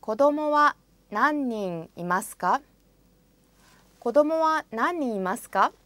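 A woman's voice: fairly high pitch, expressive, a bit bright, dry recording. A woman in a studio speaking example phrases for a language course, saying each one carefully and then repeating it. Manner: careful, deliberate pronunciation.

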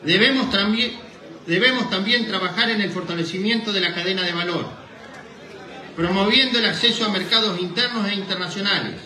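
A man's voice: high-pitched, fairly quick, pushed and bright, a faint crowd. A middle-aged Argentine man formally reading out a prepared speech in Spanish at a microphone, stumbling now and then. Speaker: president of a rural association giving a speech. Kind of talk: formal speech read from paper. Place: indoor hall, at a lectern. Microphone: microphone on a boom stand, recorded from a distance. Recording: echoey hall PA, distant microphone.

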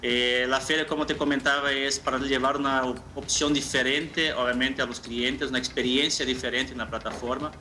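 A man in his thirties answering interview questions informally, a little haltingly and with repeated phrases, from a room over a video call. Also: medium pitch, steady tone, sharp tone, slight background noise. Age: 30s. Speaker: marketing manager, interview guest.

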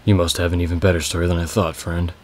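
A man voicing a talkative, raspy male slave with a scraggly beard who boasts about stealing livestock, in a low, flat read. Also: fast, slight background noise, natural voice. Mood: prodding. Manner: chatty, prodding, amused.

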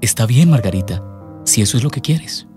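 An actor playing an earnest, worried young boyfriend in a radio drama. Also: male voice, medium pitch, lively intonation, fairly quick, loud music behind the voice, lightly acted. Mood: somber, supportive. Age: young adult.

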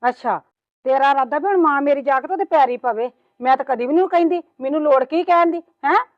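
A woman's voice: sits high, quick pace, no room sound, lightly acted. A woman playing a proud, complaining mother-in-law, sarcastic and nagging. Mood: indignant. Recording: muffled lo-fi, dry.

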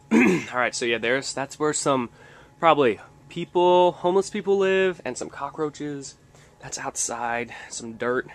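Lively young man filming a vlog. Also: mid-range voice, unhurried. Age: young adult. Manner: chatty, jokey, enthusiastic narration. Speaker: vlogger. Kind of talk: casual handheld vlog tour. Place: house basement and outdoors at night. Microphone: handheld camera at arm's length.

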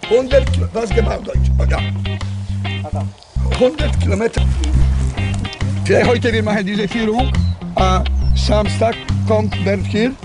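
Older male guide, high pitch, choppy phrasing, camera audio with prominent music. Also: measured pace, long pauses. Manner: choppy, broken phrasing with repetitions. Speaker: tour guide. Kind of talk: informal guided tour. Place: outdoors at a bunker site.

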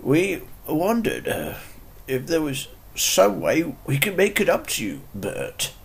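Man voicing a gentle, slow older clergyman. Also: a little high, wildly swooping pitch, vocal fry, slightly rough, heavily acted. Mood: apologetic, gentle. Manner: hesitant, apologetic.

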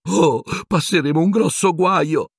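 A man's voice: fairly high pitch, wildly swooping pitch, normal speed, breathy edge, no room sound, clean studio sound, pitched above the performer's own voice, heavily acted. A man voicing a frightened, anxious teenage schoolgirl in a storybook reading, whimpering and panicky.

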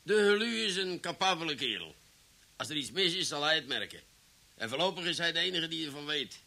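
An actor in a Dutch radio drama playing a stubborn, superstitious police superior who blusters and stammers. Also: male voice, fairly high pitch, expressive, fairly quick, creaky, sharp tone, heavily acted. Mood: blustering, stubborn. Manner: blustery, stammering, repeats words.

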